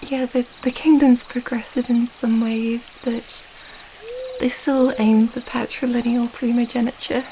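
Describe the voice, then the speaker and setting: A woman playing an earnest, affectionate, slightly flustered young princess in an intimate ASMR romance roleplay, speaking softly and hesitantly, rambling gently. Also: on the high side, level tone, measured pace, a little hiss or hum, poor audio. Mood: mildly exasperated.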